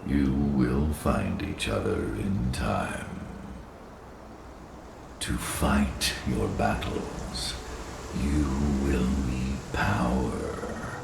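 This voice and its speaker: A man playing an ancient nature spirit in a video game, a solemn, benevolent guide speaking formally and deliberately in a gravelly, echoing voice. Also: noisy background, full character voice. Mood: solemn reassurance. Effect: deep echoing reverb. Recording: echoing, distant voice under music.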